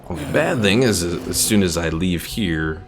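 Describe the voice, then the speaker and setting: A man's voice: low voice, expressive, moderate pace, noisy background. A man giving casual commentary on a game as a streamer, thinking aloud as he plays. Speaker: gaming streamer. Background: faint game music.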